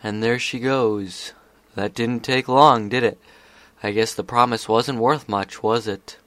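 A man's voice: medium pitch, steady tone, unhurried, fairly bright tone, no room sound, lightly acted. A man voicing a sly, insinuating male spirit in a story reading. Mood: mocking.

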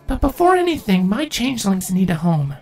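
A man voicing a young grieving pony queen in a storybook reading, pitching his voice high and strained. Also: sing-song, fairly quick, vocal fry, soft background music, heavily acted. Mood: weary. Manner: tired then bitter, breaks into angry shouts.

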